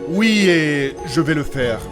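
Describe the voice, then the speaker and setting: A man's voice: a little high, animated, slow, music in the background. A man playing a courteous, solemn nobleman with old-fashioned formal diction in a fantasy audio drama. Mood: solemn explaining. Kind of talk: French fantasy comedy audio drama acting.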